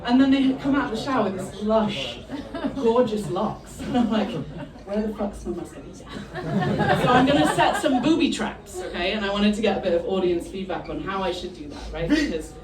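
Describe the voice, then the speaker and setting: A woman's voice: mid-range voice, expressive, moderate pace. A middle-aged woman from South Africa doing a stand-up set, bantering crudely and mocking herself in front of the crowd. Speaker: stand-up comedian. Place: comedy club with a live audience. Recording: roomy live club sound, mic at a distance, crowd noise.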